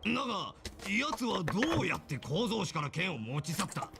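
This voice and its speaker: Creaky, terse male investigator. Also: sits high, very animated, fast talker, over music, heavily acted. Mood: stern, questioning. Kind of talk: Japanese anime game voice acting.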